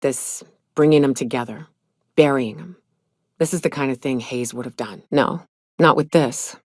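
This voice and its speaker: Low-voiced, gruff frontier huntswoman. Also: normal speed, a little mellow, no echo, clean studio sound. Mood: somber, resolute. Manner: gruff, folksy frontier phrasing, blunt. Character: tough, grieving, foul-mouthed huntswoman in a space frontier. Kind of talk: video game character dialogue.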